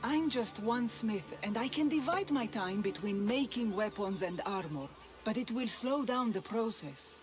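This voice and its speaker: A reluctant young blacksmith's daughter. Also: female voice, a little high, animated, a little slow, music in the background. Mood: warming, hopeful. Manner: wry, hesitant, grumbling, sighing. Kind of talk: video game cutscene acting.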